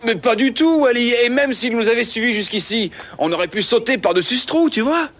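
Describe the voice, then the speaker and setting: A man voicing a cocky, excitable teenage boy in a French cartoon dub, boastful with mock-announcer flourishes. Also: very high-pitched, a little fast. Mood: boastful, excited. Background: loud music.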